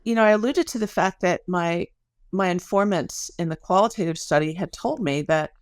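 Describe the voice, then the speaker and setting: A woman's voice: on the low side, moderate pace, dry recording, clean studio sound. A woman speaking as an academic guest in an interview, explaining research conversationally with everyday examples.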